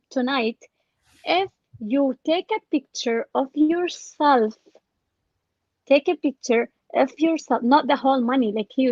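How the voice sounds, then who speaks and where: A woman telling a personal story as an interview guest, casually and with animated retelling of exchanges. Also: high voice, dry recording, dull audio. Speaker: interview guest, scam victim.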